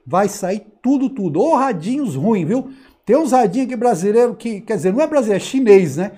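Male Brazilian host, forties, high-pitched, casual and emphatic, slangy delivery to camera. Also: animated, a little fast, dark tone, husky. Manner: slangy, emphatic, repeats words and seeks agreement. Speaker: host of a CB radio channel. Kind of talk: casual, chatty commentary to viewers. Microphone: lapel mic.

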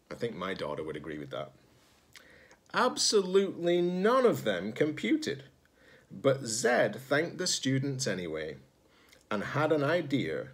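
A man's voice: medium pitch, fairly bright tone, slightly rough. A man, a teacher-librarian reading a children's storybook aloud. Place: small indoor room at home.

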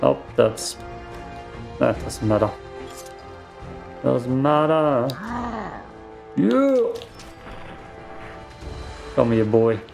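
A man's voice: mid-range voice, big pitch swings, extremely slow, creaky, long pauses. Man, German native speaker, casual let's play commentary, rambling, game music faintly behind. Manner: rambling, self-deprecating, reacts aloud to the game. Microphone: desk microphone.

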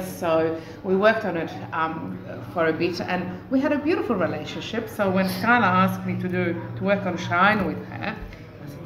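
A middle-aged woman from Poland, a native Polish speaker with a Polish accent, speaking as a guest artist in a casual interview. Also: on the low side. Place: live in a taproom bar. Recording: echoey, noisy live venue recording.

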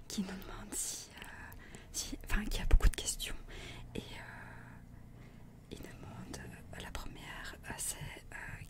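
A woman, reading questions haltingly in a breathy ASMR whisper close to the microphone. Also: a bass voice. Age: 20s. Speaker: co-host reading out viewer questions. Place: small attic room indoors. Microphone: desk condenser microphone, close.

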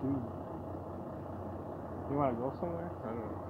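A man's voice: medium pitch, normal speed, narrow, tinny audio, noisy background. A young man chatting casually with a friend outdoors at night, laid-back and swearing casually. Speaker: visiting friend. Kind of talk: casual chat.

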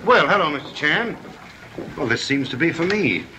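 A man's voice: on the high side, sing-song, moderate pace, noisy background. An actor playing a firm, reassuring male horse owner in a 1930s film.

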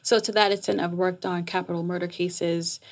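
A middle-aged woman talking conversationally as an interview guest, explaining things in an animated way with a slightly husky, creaky voice, close on a studio mic. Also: lowish voice, moderate pace. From Jamaica, raised in New York. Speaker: guest, psychotherapist. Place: small foam-treated podcast studio. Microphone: close boom-arm studio microphone.

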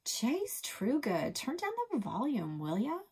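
A woman's voice: a little high, huge pitch swings, measured pace, a little mellow, slightly rough, voice pushed up, heavily acted. A woman voicing a bossy teenage older sister with a scolding, exasperated manner.

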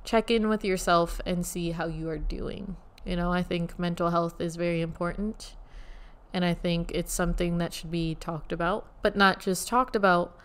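A woman hosting her own podcast in casual solo talk, with a soothing voice, gently guiding listeners through a short meditation. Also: fairly low pitch, steady tone, normal speed, clear, resonant tone. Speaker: podcast host. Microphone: USB studio microphone.

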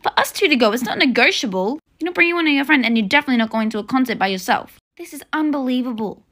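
A woman's voice: mid-range voice, fairly quick, close to an everyday voice. A woman with an Australian accent, playing an exasperated mother of a teenage daughter in a homemade family skit, scolding and pleading by turns. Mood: hurt.

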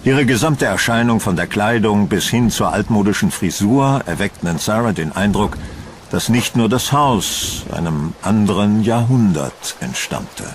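A man narrating a scripted horror audio drama in a measured, solemn storytelling manner. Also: lowish voice, animated, slight background noise.

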